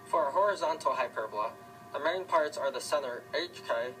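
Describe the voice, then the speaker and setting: A casual male puppet, played by a man, recites a maths explanation at middling pitch. The voice is off the mic, with clear music. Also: expressive, a little fast, pushed and bright. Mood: explanatory. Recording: distant mic, music over voice.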